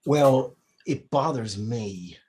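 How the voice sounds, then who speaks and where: A man playing a concerned, polite priest in a screenplay table read, husky, hesitant and earnest. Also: mid-range voice, expressive, extremely slow, a little mellow, exaggerated voice. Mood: concerned, upset.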